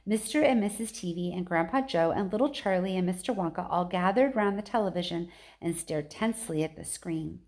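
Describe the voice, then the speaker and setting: A woman's voice: on the low side, fairly quick, darkish tone. A woman reading a children's book aloud like a teacher, expressively, with casual chatty asides.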